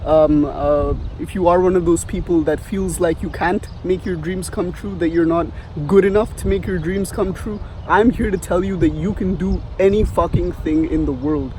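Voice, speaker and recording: A man's voice: sits high, moderate pace, few pauses, a little mellow, a little hiss or hum. A young man in his twenties from India with a light Indian accent, giving rambling casual advice outdoors, full of fillers and restarts. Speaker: vlogger. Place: outdoors on a sunny street.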